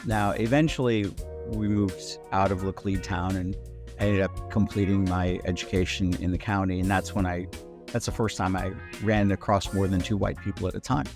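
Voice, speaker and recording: A middle-aged man from India with an American Midwestern accent, reflectively telling his story as an interview guest, haltingly repeating words. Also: deep voice, steady tone, music in the background. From India, then St. Louis, United States. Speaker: doctor, podcast guest.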